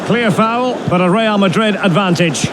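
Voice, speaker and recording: A man acting as a football commentator in a video game, with a high voice. He calls the match play-by-play, naming the action as it unfolds. A loud roar of ambient noise sits behind him. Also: moderate pace, natural voice. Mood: focused commentary.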